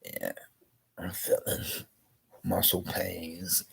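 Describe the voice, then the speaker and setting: A man vlogging slowly and rambling, congested with a scratchy throat, repeating "you know". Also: deep voice, very animated, creaky. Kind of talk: rambling personal vlog.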